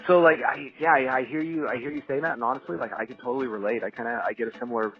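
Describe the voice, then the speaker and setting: A man's voice: medium pitch, steady tone, racing, muffled recording, faint music behind. A man hosting a podcast interview, asking hedging, searching questions and relating to his guest. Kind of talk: conversational interview.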